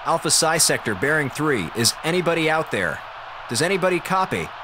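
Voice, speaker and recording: An actor playing a sarcastic, theatrical slacker communications officer on a space station in a radio drama. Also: male voice, on the high side, very animated, brisk, noisy background. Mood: startled, unsure. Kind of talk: radio drama monologue as a log.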